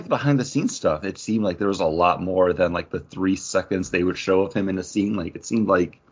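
A man's voice: low voice, a bit bright, slightly rough, lo-fi sound. A man co-hosting a podcast, chatting casually as he steers the discussion and asks questions. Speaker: podcast co-host leading discussion. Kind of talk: casual chat about comics.

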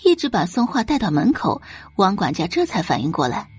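A woman reading scripted narration for an audio drama in an expressive storytelling style. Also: mid-range voice, a little fast, slightly rough, dry recording, soft background music.

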